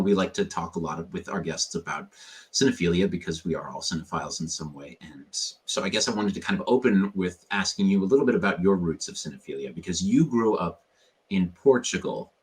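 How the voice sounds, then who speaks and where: Male host, very deep voice, live stream on a video call; polished, effusive, formal. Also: lively intonation, fairly quick. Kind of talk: live stream interview hosting.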